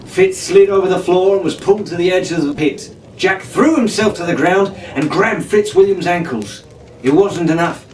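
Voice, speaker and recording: A man's voice: high voice, moderate pace. A man reading a pirate adventure story aloud as a dramatic storyteller. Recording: echoey room, distant mic, faint noise.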